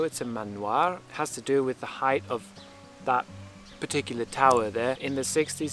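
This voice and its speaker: A young man talks in the relaxed, informal manner of a vlogger explaining his days. His voice is creaky, with a clear gravelly fry, and music plays clearly behind it. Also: medium pitch. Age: young adult. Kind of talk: casual vlog narration.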